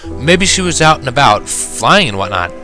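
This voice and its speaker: A man narrating a first-person story in an expressive, reflective and dramatic way. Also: medium pitch, normal speed. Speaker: reader of fan fiction. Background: background music.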